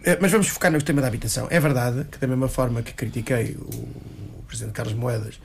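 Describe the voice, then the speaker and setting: A man's voice: mid-range voice, gravelly, slight background noise. A man with a European Portuguese accent, arguing as a local politician on a panel, restarting and repeating words as he pushes his point. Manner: fast, restarts often, repeats words, argues insistently.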